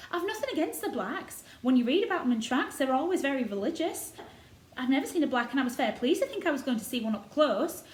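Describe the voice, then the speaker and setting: A woman's voice: sits high, reverberant, a little hiss or hum, pitched above the performer's own voice. A woman voicing a good-natured, frank young Yorkshire housemaid with homely, blunt dialect speech in a storybook reading. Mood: put out.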